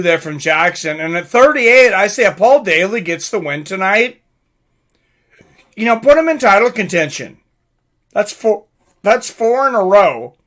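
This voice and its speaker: A man's voice: high-pitched, a bit bright. A man giving casual live fight commentary in an informal, conversational way, like a livestream host.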